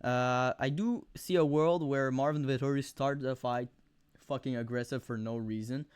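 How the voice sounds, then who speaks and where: A young man with a thick Quebec French accent giving rambling, profane fight predictions on camera, full of fillers and sudden animated outbursts. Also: medium pitch, moderate pace, smooth, dry recording. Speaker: MMA video host. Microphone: camera mic, close.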